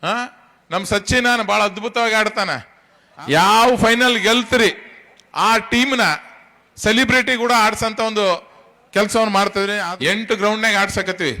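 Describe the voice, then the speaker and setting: A middle-aged man with a North Karnataka accent delivers a public speech as the organiser of an event. His voice sits high and has a bright, forceful edge. He speaks in an emphatic, colloquial way, addressing his listeners directly. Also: steady tone, normal speed, long pauses. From Karnataka, India. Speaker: tournament organiser.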